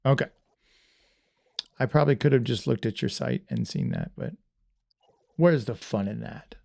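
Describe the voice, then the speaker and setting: A man's voice: on the low side, huge pitch swings, moderate pace, vocal fry, dark tone. A man hosting a casual livestream podcast, rambling and self-deprecating with lots of restarts. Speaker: podcast host.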